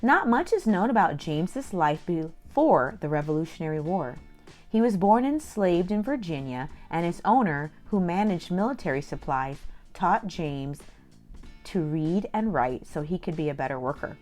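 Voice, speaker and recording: A woman's voice: on the low side, moderate pace. Woman reading expressively to children over music. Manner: expressive, exclamatory, speaks directly to young listeners. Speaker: children's storytime host reading aloud. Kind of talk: read-aloud storytelling with asides to children.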